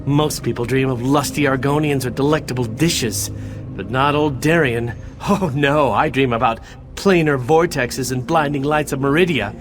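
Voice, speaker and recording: An actor voicing a roguish, self-mocking knight in a fantasy game, wry and theatrical. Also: male voice, medium pitch, normal speed, close to an everyday voice. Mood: wry, bewildered. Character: bantering, self-mocking male knight, baffled but charming rogue. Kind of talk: dramatic fantasy game dialogue. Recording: studio voice under prominent music.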